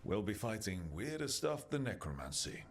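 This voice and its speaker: A man voicing a resolute team leader in a fantasy video game, steady and earnest. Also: lowish voice, moderate pace, faint music behind. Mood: reflective.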